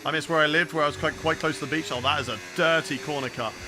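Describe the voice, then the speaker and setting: A man's voice: fairly high pitch, fairly even intonation, quick pace. A young British man streaming a racing game, chatting casually and reacting aloud while answering viewers. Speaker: gaming streamer. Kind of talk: live gameplay commentary and chat replies. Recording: racing game engine noise behind. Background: clear racing game car sounds.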